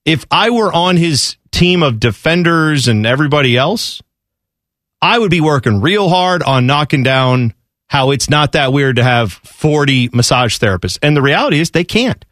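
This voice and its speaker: A man's voice: on the high side, animated, normal speed, fairly bright tone, no echo, clean studio sound. A man co-hosting a sports talk show, arguing his view casually and point by point with everyday examples.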